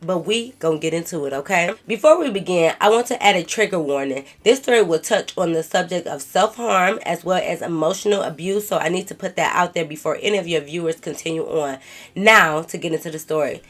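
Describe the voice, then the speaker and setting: A young woman with a congested, stuffed-up voice from a cold hosts a storytime. Her voice sits a little low, and she reads a viewer's letter with sassy, slangy asides. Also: fairly quick. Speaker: storytime vlogger. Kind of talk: casual storytime, reading a viewer's letter and reacting.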